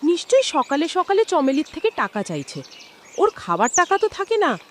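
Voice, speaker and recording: Outspoken old cartoon granny, scornful, very high-pitched and swooping. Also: female voice, huge pitch swings, moderate pace, slight background noise. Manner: scolding, outspoken.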